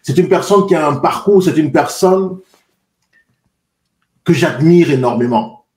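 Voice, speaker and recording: Podcast host in an echoey room. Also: male voice, fairly high pitch, a little slow, a little mellow. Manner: earnest, formal welcome with repetitions. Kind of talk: podcast interview.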